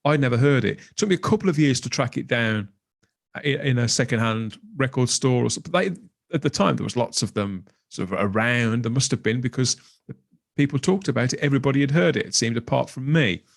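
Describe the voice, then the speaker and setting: A middle-aged man with a medium-pitched voice talks casually as a guest. He rambles enthusiastically, circling back and reminiscing in an informal way. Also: expressive, brisk, vocal fry. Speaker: podcast guest, graphic designer. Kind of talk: casual chat.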